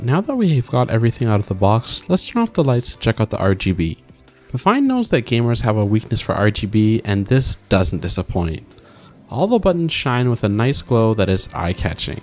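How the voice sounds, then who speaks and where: A man presents a scripted gadget review in an upbeat presenter style. His voice sits at an average pitch, with music playing behind it. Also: darkish tone. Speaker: tech review vlogger. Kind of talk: scripted product review voice-over. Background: background music.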